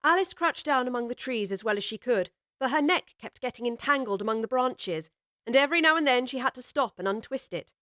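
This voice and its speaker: A woman narrates an audiobook story with measured, expressive delivery. Her voice sits a little high, and the recording is dry and free of room sound. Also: dry recording.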